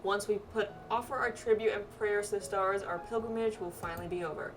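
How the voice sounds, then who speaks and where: A woman voicing a serious, dutiful young pilgrim from a fantasy game, in an earnest, measured manner. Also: mid-range voice, steady tone, recorded from a distance, soft background music, lightly acted. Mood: explaining.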